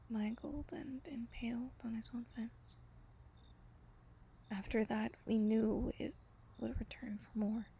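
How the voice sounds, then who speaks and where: A woman playing a gentle, wistful female vampire, telling a bedtime story tenderly and intimately in a breathy, whispery, soft-spoken roleplay style. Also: on the high side, full character voice. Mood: somber, ominous. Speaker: voice actor in roleplay audio.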